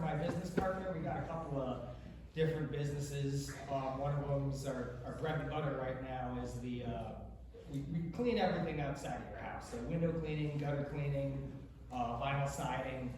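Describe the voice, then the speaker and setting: A man's voice: mid-range voice, steady tone, moderate pace. Male audience member, casual and conversational, very echoey with noticeable noise. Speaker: audience member, small business owner. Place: large indoor convention panel room. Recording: distant, echoey room with clear noise.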